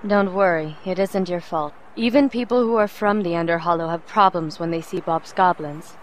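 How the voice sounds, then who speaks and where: A woman playing a tough, brisk fighter and mentor, blunt and commanding, in a fantasy audio drama. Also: on the low side, slight background noise, natural voice. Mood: reassuring.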